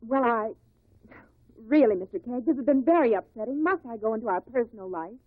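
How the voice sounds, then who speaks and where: Nervous, high-voiced widow. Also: female voice, expressive, fairly quick, no echo. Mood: nervous, defensive. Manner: hesitant, strained, defensive. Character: nervous, bitter new widow testifying reluctantly. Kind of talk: 1950s radio drama acting. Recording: tinny, dry old radio recording.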